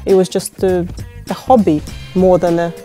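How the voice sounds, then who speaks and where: Woman, lowish mellow voice, easygoing interview talk w lots of uhs, soft music under. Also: level tone. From Ukraine. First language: Ukrainian. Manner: unhurried, with many fillers and restarts. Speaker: interviewee, open-water swimmer.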